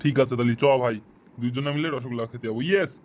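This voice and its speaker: A man's voice: mid-range voice, very fast, slight background noise, natural voice. A man voicing an exasperated, sarcastic, foul-mouthed friend in a comic cartoon. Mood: coaxing.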